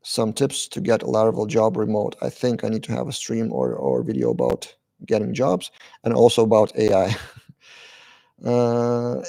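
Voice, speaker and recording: A man hosts a live-stream Q&A, reading viewers' questions aloud and answering them briefly. His voice is dark and gravelly, with frequent "uh" pauses between the questions and his answers. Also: mid-range voice. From Lithuania. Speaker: channel host answering live chat.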